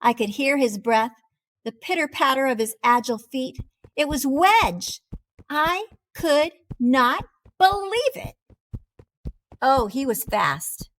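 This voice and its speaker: A woman voicing a proud, dramatic wheel of cheddar in an expressive children's storybook read-aloud. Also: high voice, exaggerated voice. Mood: dramatic recounting. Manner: theatrical, expressive, deliberate delivery.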